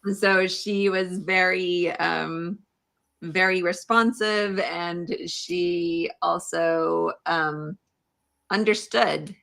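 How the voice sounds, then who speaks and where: A woman podcast host speaks at a slow pace with noticeable vocal fry. She thinks aloud and halts often as she works toward her questions in a conversational livestream interview, in a bright, forward tone. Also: fairly low pitch, steady tone.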